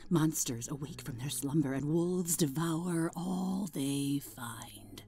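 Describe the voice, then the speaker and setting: A woman voicing a protective mother who gives hushed, ominous warnings about monsters in a dark fairy tale. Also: low voice, takes it slowly, gravelly, heavily acted. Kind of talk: fairy-tale horror storytelling.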